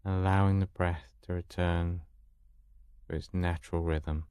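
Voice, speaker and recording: Male meditation guide: deep register, dry close sound, calm soothing instruction, unhurried gaps between phrases. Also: clear, resonant tone, dry recording.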